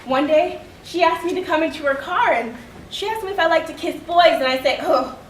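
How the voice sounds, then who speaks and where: A woman's voice: very high voice, fairly quick. A woman performing a theatrical monologue as a wounded, frank young girl, with a childlike, dramatic, emphatic delivery. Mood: shy wonder. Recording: echoey room, distant mic, faint noise.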